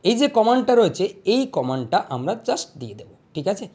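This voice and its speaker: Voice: mid-range voice, very animated, brisk, dark tone. A tutorial host giving a step-by-step voice-over, often repeating phrases and checking in with the listener.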